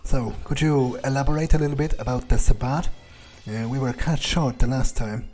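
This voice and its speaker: A young fledgling vampire acted by a man in a game roleplay, polite and inquisitive. He speaks tentatively in a soft-edged, mellow, mid-pitched voice, with faint music underneath. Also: normal speed, lightly acted. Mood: hesitant. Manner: hesitant, tentative questioning. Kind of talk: in-character video game roleplay.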